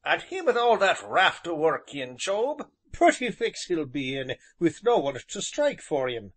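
A plain-spoken village regular, as a male narrator puts him on, earnest and matter-of-fact in a rural southern English dialect. He sounds loud and lively, his pitch bouncing around. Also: a little slow, exaggerated voice.

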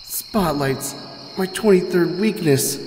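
A man voicing a sardonic, self-deprecating pony stallion on the run in an animated comic dub, with wry, theatrical asides. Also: sits high, slow pace, a little hiss or hum. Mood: flustered, wry.

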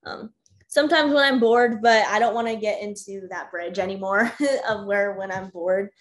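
A young American woman hosting a casual video-call discussion, talking with many fillers and soft laughs. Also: on the high side. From United States. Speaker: discussion host. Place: home room with bookshelves. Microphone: webcam on a video call.

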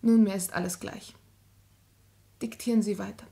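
A woman's voice: mid-range voice, steady tone, normal speed, gravelly, mellow, natural voice. An actress playing a proud, despairing young woman under coercion, theatrical and impassioned, in a 1950s German radio drama. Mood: bitter defiance.

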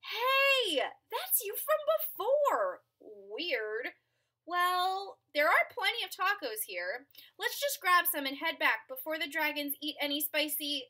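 Casting: young adult female children's storyteller, slow-paced with big swoops in pitch, expressive and playful. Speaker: storyteller reading a picture book aloud. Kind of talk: animated children's read-aloud. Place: small furnished room at home.

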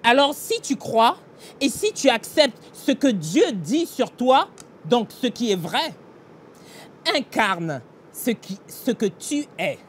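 A man's voice: very high-pitched, big pitch swings, slow pace, slight background noise. A middle-aged man pastor giving an earnest devotional meditation and prayer, speaking straight to the listener in a small indoor room. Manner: earnest, direct address to the listener, emphatic gestures. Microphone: facing a fixed camera, mid-shot.

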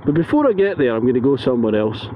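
A man's voice: mid-range voice, big pitch swings, fast talker. A Scottish man narrating casually from a motorbike as a chatty tour guide, often checking in with "yeah?". He rides outdoors, speaking through a helmet mic. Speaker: motovlogger. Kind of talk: casual ride-along narration. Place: outdoors on a moving motorbike, then stopped by a roadside. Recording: muffled, with loud wind and engine noise.

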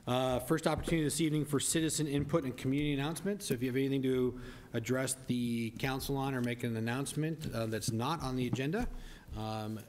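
A man chairing a city council meeting as mayor, relaxed and joking, with many fillers. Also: medium pitch, a little hiss or hum. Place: council chamber dais. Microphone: desk mic.

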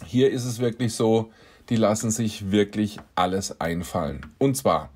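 A man's voice: lowish voice, measured pace, darkish tone. A man hosting his car channel, reading out scam messages and telling the story in a dry, wry way. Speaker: car dealer and channel host. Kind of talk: casual narration, reading out messages.

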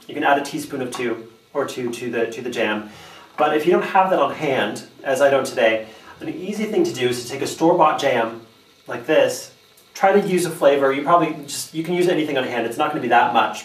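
Man in an echoey kitchen, far from the mic. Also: mid-range voice. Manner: relaxed, chatty, with fillers and restarts. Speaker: home cooking show host. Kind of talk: casual step-by-step cooking demonstration. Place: home kitchen. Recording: echoey room, distant mic, faint noise.

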